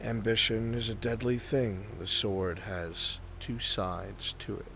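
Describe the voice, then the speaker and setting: A man playing a patient, cryptic dark sage in improvised fantasy roleplay, giving ominous counsel in a solemn, measured, portentous way. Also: lowish voice, creaky, noisy background.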